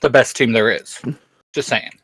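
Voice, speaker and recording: A man's voice: mid-range voice, steady tone, moderate pace, a bit bright, breathy edge, dry recording. A young man hosting a YouTube channel in casual, slangy chat.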